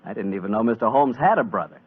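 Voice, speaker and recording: Animated, husky radio host. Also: male voice, on the low side, very fast. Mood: friendly, interested. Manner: chatty, courteous. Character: friendly announcer visiting an old storyteller. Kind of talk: 1940s radio announcing. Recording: old muffled 1940s radio recording.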